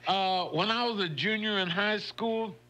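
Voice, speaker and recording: Guy hosting morning radio, chatty n folksy, voice sits a bit high. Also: unhurried, bright, forceful tone, poor audio. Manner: folksy, chatty, laughs often. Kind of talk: casual radio chat. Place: radio studio. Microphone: studio microphone.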